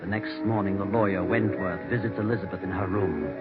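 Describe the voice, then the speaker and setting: A man narrating a 1940s radio mystery in a measured, dramatic manner. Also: deep voice, natural voice. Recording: muffled old radio with loud music.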